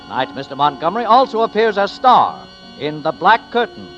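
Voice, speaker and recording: A man's voice: on the high side, huge pitch swings, a little fast, a bit bright. A man making formal, emphatic announcements for a radio drama. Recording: dull old radio broadcast with music.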